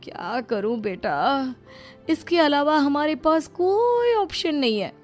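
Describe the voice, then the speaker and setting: A woman's voice: high-pitched, very animated, takes it slowly, full character voice. A woman voicing a crafty, firm middle-aged mother-in-law in a cartoon story, coaxing and pleading by turns. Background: faint background music.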